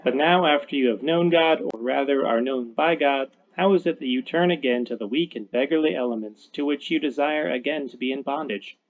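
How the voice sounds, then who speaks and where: A man giving measured, scripted teaching narration, played back as a clip. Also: medium pitch, no room sound, narrow, tinny audio. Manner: steady, measured reading.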